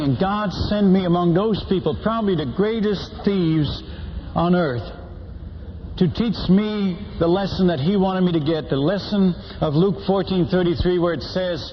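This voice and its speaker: A man's voice: sits high, a little hiss or hum. An older man from the Netherlands, a missionary, telling his stories to a church audience in a folksy, humorous way, full of restarts and fillers. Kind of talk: storytelling talk to a church audience. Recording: muffled hall recording with long reverb.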